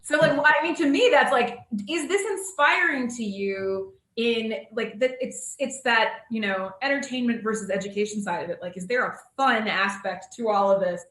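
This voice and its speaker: Bright-voiced American woman moderating, roomy echo. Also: fairly high pitch, moderate pace, few pauses. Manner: informal, hesitant, thinking aloud. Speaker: panel moderator. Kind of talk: casual moderating of a live video panel. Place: indoor room, on Zoom call. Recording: roomy echo over video call.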